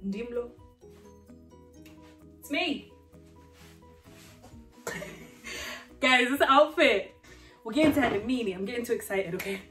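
Animated chatty woman vlogger. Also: fairly high pitch, slow, pauses often, soft background music. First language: not English. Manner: animated, chatty, laughs often, addresses viewers as guys. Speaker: fashion vlogger. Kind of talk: casual haul try-on vlog. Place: home living room, indoors.

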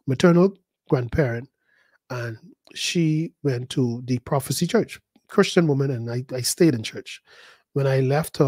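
A man preaching a sermon, his fairly high voice leaping higher and louder when he drives a point home. He repeats words as he builds and acts out the voices of the people he describes. The sound is dry and close. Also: no echo. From the Bahamas. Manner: emphatic, rising to high pitch and volume at key points. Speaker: pastor.

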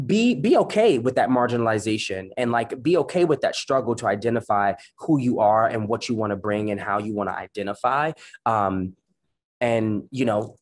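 A man whose first language is Spanish, speaking earnestly on a video call panel, often restarting and asking "right?". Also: on the low side. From United States. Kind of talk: reflective panel discussion. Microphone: webcam on video call.

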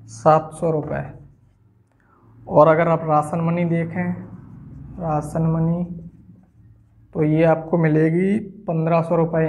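A man's voice: a little high, darkish tone. A man explains step by step like a coaching teacher, repeating key points as he goes. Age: 20s. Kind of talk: explanatory whiteboard lesson. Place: small indoor room at a whiteboard.

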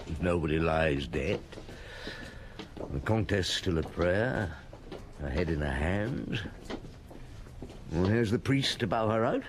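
An actor playing a middle-aged chief inspector, reflective and measured, in a British radio drama style. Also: male voice, low voice, a little hiss or hum. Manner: measured, musing, clipped sentences. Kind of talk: British radio drama acting, with interior monologue. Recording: old radio broadcast with background bustle.

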